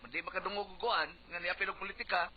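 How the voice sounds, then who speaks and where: A man with a Cebuano accent talks casually as a radio host. His voice sits high for a man. The sound is dull and lo-fi, with a faint layer of noise behind him. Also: fairly even intonation, fast. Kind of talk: casual radio banter and listener advice.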